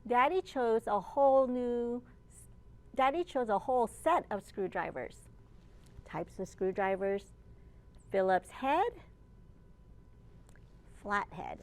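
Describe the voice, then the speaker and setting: A woman children's librarian reading aloud to young children in an encouraging storytime manner, pausing to ask simple questions. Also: on the high side, lively intonation. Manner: slow, encouraging, asks listeners simple questions.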